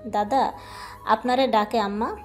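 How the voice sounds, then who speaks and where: Actress as humble domestic helper in audio drama, highish pitch, homely colloquial speech, light music. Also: female voice, steady tone, a little slow, darkish tone, close to an everyday voice. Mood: deferential. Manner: colloquial dialect wording. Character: deferential household maid, someone's mother. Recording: faint music behind.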